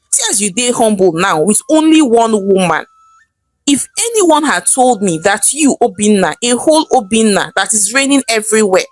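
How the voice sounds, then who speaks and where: A woman's voice: on the high side, no echo. A woman with a Nigerian accent voicing a sarcastic young male friend in a folktale, full of mock amazement. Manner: Pidgin street banter, mock amazement.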